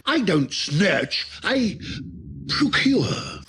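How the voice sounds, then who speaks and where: A man voicing a small, pompous, sly alien in sound clips from a talking toy, gravelly and drawn-out. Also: sits high, wildly swooping pitch, over music, heavily acted. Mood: indignant.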